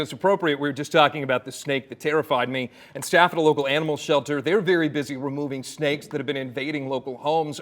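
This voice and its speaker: American male TV news anchor. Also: a little high. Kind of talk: anchor banter and news reading.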